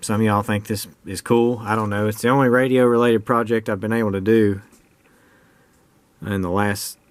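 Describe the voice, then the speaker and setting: American man, lowish pitch, casual unscripted show-and-tell, folksy, plain-spoken hobbyist presenter. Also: fairly even intonation, normal speed, dry recording. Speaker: hobbyist presenter demonstrating a homemade radio project.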